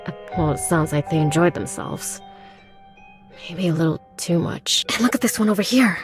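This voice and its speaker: A woman's voice: lowish voice, expressive, moderate pace, over music, close to an everyday voice. A woman playing a blunt, skeptical but protective young woman in a horror visual novel, with a frank, casual and sardonic manner.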